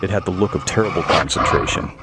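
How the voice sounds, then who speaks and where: Very low-voiced man narrating horror. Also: quick pace, heavy background noise. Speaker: storyteller. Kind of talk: scripted horror story narration.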